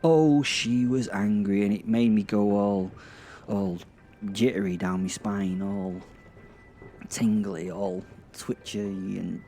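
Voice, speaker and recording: Low-voiced British man narrating, mellow tone. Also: steady tone, takes it slowly, faint music behind. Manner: bawdy, hesitant, self-interrupting. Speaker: narrator of a serial audio drama. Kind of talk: first-person dramatic narration.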